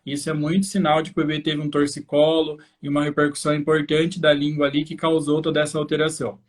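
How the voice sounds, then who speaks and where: A man's voice: on the high side, completely flat delivery, quick pace. A man with a Brazilian accent hosting a live educational talk, explaining fluently and speaking directly to parents as a practitioner. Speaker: host, infant osteopath.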